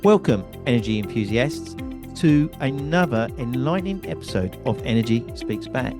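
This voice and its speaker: A man's voice: medium pitch, sing-song, normal speed, music in the background. A man hosting an interview podcast, introducing and questioning in a presenter's style. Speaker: podcast host and founder.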